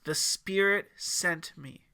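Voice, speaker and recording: A man voicing a weakened, fasting holy man in an audiobook story, solemn and quietly certain, with a scratchy voice. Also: high-pitched, clean tone, voice pushed up, exaggerated voice. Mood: strained, firm. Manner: solemn, declarative.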